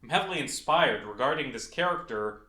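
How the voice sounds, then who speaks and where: Male narrator for scripted video essay. Lively, swinging intonation, forceful and dramatic, recorded in a reverberant room. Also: medium pitch, a little fast. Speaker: indie game creator presenting a project.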